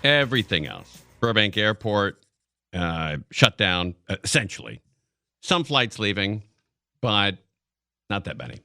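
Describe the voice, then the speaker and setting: An American man hosting live radio talk, commenting casually on the news in a rambling, halting way, as if thinking aloud. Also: fairly low pitch, big pitch swings, vocal fry, bright, forceful tone, dry recording, studio quality, faint music behind. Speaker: radio talk show host. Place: radio studio. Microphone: close broadcast studio mic.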